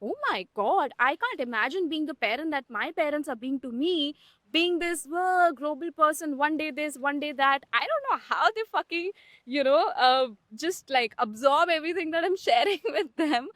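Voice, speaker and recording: A woman's voice: high voice, lively intonation, normal speed, a bit bright, no room sound. A young adult woman hosting a candid podcast conversation, emphatic and blunt, swearing for emphasis. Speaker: podcast host.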